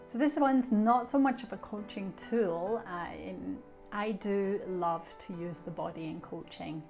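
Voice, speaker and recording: A woman's voice: medium pitch, unhurried, vocal fry, darkish tone, faint music behind. A middle-aged Scottish woman, a coach, introducing herself and sharing coaching tips in a reflective way with fillers. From Scotland. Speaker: coach, association treasurer. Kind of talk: self-introduction and coaching talk.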